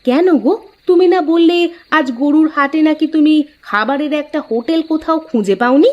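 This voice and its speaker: A woman playing a caring, encouraging village wife in a cartoon folk tale, speaking in a coaxing, expressive way. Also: sits high, moderate pace, highs cut off, close to an everyday voice.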